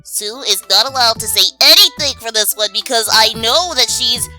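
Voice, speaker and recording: Bright-toned woman in casual commentary. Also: a little high, normal speed, faint music behind. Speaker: co-host of a fan review video.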